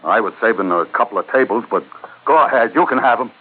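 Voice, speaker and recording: A man playing a gruff, laconic, wisecracking ship's mate in 1940s radio adventure style. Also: medium pitch, lively intonation, quick pace, natural voice. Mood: grumbling. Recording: tinny old radio recording.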